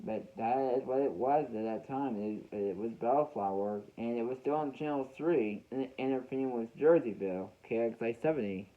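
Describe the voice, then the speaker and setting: A young American man speaks in a mid-pitched voice through a dull, muffled home recording. He tells his story casually to viewers without a script. He often restarts and repeats phrases as he finds his way. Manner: halting, repeats and rephrases phrases. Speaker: weather radio hobbyist vlogger.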